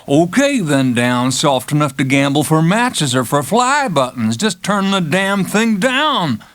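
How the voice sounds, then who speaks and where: A man's voice: a little high, sing-song, unhurried, fairly bright tone, voice pushed up. A man voicing a brash, brawling American gambler with folksy, blunt, wheedling speech in an audiobook. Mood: irritated, insistent. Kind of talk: audiobook character acting.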